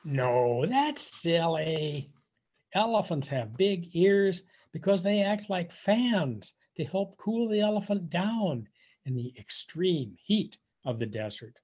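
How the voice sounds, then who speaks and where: Husky older man, very animated storybook reader. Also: high-pitched, slow. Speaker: volunteer storybook reader for young children. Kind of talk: picture-book read-aloud for preschoolers. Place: indoors, on a video call. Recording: dull, muffled webcam audio.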